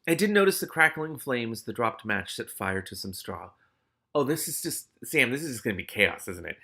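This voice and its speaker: A man's voice: mid-range voice, big pitch swings, normal speed, vocal fry, pushed and bright, husky. A man hosting a read-aloud of a novel, reading dramatically and breaking off into casual, exclamatory, sweary commentary. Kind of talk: expressive audiobook-style reading with casual reactive commentary.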